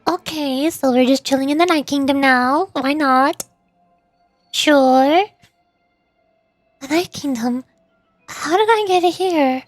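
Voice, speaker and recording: High-voiced young woman streaming a game. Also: slow pace, long pauses, dry recording, faint music behind. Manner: playful, rambling, teasing. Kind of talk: casual livestream game commentary.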